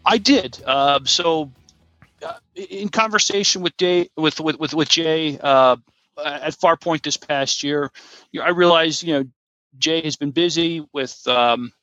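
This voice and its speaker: A male podcast co-host with a bright, mid-pitched voice, talking casually and haltingly. The recording is dry and a little dull. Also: no echo, soft background music. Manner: halting, hesitant delivery. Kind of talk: casual chat.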